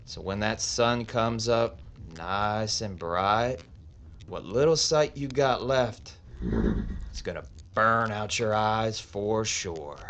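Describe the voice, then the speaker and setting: An actor playing a cool Old West gunfighter who speaks in a calm, unhurried, mocking drawl. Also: male voice, on the low side, a little hiss or hum. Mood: taunting.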